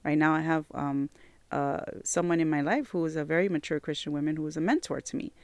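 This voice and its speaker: Earnest woman with a gravelly, hoarse voice. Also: deep voice, steady tone, fast, no echo. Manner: earnest, repeats phrases for emphasis, many fillers like "like" and "you know". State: slightly hoarse from asthma and allergies. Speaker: church member sharing her testimony. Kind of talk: personal faith testimony.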